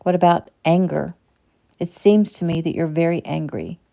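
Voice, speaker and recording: A woman voicing a calm male doctor who questions his patient quickly and evenly. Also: on the low side, gravelly. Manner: calm, probing.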